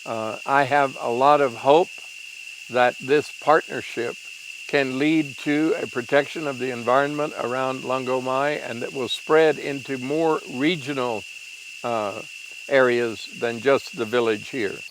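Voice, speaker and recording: Slow, halting older man instructor. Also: mid-range voice, steady tone, noisy background. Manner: slow, halting, many uh pauses. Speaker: permaculture instructor. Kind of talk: interview to camera.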